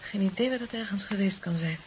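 An actress playing a tender, weary young woman recovering from an ordeal in a 1970s radio drama, affectionate and halting. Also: medium pitch, lightly acted. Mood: weary, recounting. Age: young adult. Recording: dull, hissy 1970s radio tape.

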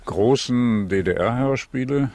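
A man's voice: medium pitch, drawn-out words, gravelly, crowd noise. A man speaking casually as a reporter on location, asking and commenting in conversation. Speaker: reporter, interviewer. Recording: noisy location recording, strong room ambience.

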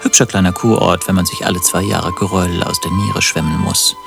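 A man's voice: sits low, flat delivery, a little fast, gravelly, music in the background. Dry, sardonic lovelorn man. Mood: wry, melancholic. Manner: dry, ironic. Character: sardonic, self-pitying lovelorn man narrating his own misery. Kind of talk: radio play narration and dialogue.

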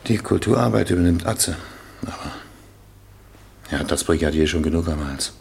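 An actor playing a plain-spoken, consoling male workmate in a German radio crime drama, with a gravelly voice. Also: sits low, moderate pace, darkish tone, slight background noise. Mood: reassuring. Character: steady, consoling male workmate of the dead husband.

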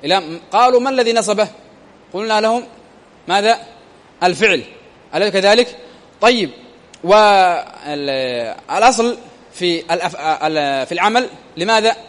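High-voiced man teaching grammar, echoey hall. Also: lively intonation, normal speed, long pauses, a bit bright. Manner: repeats phrases and quizzes listeners with rhetorical questions. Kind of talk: classroom grammar lesson with questions to students.

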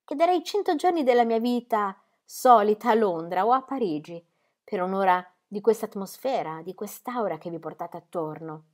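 A woman's voice: medium pitch, lively intonation, normal speed, natural voice. A woman voicing a romantic male artist who speaks in lofty, poetic declarations. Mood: wistful.

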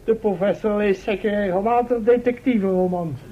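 An actor playing a cocky but dim-witted comic sidekick in a radio drama, cheeky and bumbling. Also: male voice, high-pitched, a little fast. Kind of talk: Dutch radio drama acting. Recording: muffled old radio recording.

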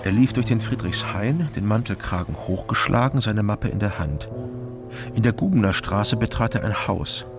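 Man acting low voiced world-weary detective guy narrating a radio crime play, dry n observant, music underneath. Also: normal speed, natural voice. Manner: dry, matter-of-fact. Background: music bed.

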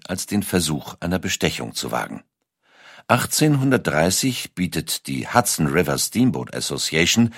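A man's voice: low voice, dry recording, clean studio sound. A man reading a scripted documentary narration as its narrator.

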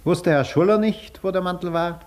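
A man acting a suave, sardonic gentleman interrogator in a German radio drama, polite on the surface while probing and mocking. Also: high voice, moderate pace, lightly acted. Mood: sly, probing.

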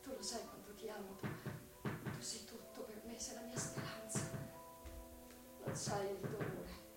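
A young woman playing a devoted, anguished sister reciting a confessional letter in a halting, theatrical radio drama style. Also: medium pitch, fairly even intonation, close to an everyday voice. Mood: anguished, tender. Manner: halting, repeats words, breathy emotional outbursts. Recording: echoey, distant, music behind.

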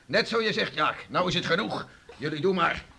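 A man's voice: a little high, lively intonation, normal speed, gravelly, pushed and bright, exaggerated voice. A man playing a blunt, teasing farmer father in a Dutch radio play, mock-stern and colloquial. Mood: grumbling. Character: gruff, teasing farmer father of grown children. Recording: faintly hissy old radio recording.

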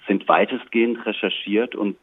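A man's voice: fairly low pitch, fairly even intonation, moderate pace, breathy edge, no room sound. A man giving reflective, articulate answers as an interview guest, choosing his phrasing with care. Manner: thoughtful, articulate, considered phrasing. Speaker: interview guest, playwright and director. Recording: tinny, narrow phone-like line.

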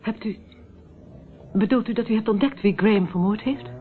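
A woman's voice: medium pitch, normal speed, close to an everyday voice. An actress playing a polite, uneasy hostess in a Dutch radio drama, genteel and hesitant as she discreetly probes. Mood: uneasy, probing. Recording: tinny, narrow old radio recording, faint noise.

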